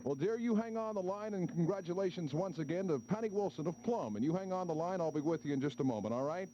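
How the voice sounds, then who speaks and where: Male 1970s American pop DJ, mellow but very animated, on a muffled old AM aircheck. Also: sits high, fast. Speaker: radio DJ. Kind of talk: upbeat phone-in giveaway patter on 1970s pop radio. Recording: muffled 1972 AM radio aircheck, faint noise.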